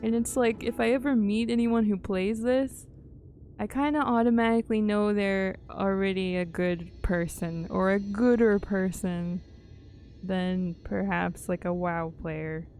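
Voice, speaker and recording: Mellow, unhurried woman chatting on a livestream. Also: medium pitch, fairly even intonation. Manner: informal, musing, often trailing off. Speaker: live game streamer. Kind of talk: casual livestream chat while playing a game. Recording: game music behind the voice.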